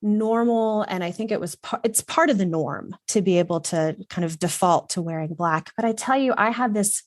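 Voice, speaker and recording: A woman's voice: mid-range voice, normal speed, no echo, clean studio sound. Earnest, reflective middle-aged woman from Texas. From Texas, USA. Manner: reflective and earnest, with some fillers. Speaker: guest, wardrobe consultant. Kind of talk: casual conversational storytelling.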